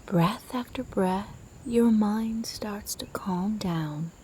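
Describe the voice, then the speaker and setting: A woman guiding a sleep meditation in a soft, breathy, half-whispered voice, soothing and gentle in her delivery. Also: medium pitch, slow, a little hiss or hum. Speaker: meditation guide. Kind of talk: guided sleep meditation narration.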